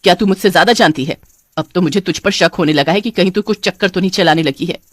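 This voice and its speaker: A woman's voice: fairly low pitch, quick pace, no echo, studio quality, lightly acted. A woman voicing a cruel older mother-in-law in a cartoon story, harsh and taunting. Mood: scolding. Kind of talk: Hindi cartoon story voice acting.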